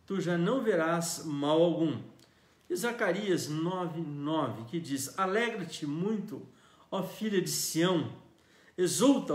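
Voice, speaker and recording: A Brazilian man in his fifties, a pastor preaching a sermon earnestly, reading scripture with emphasis and slowing down on key words. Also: fairly high pitch. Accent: Brazilian Portuguese. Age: 50s. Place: church sanctuary. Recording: echoey church hall.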